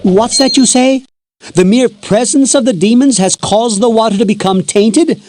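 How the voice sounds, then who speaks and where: A woman's voice: a little high, moderate pace, a little mellow, a little hiss or hum, natural voice. A woman playing an earnest, worried townswoman in a fantasy video game, speaking formally. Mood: alarmed, grateful. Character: earnest, worried townswoman pleading for the hero's help. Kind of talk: video game character acting.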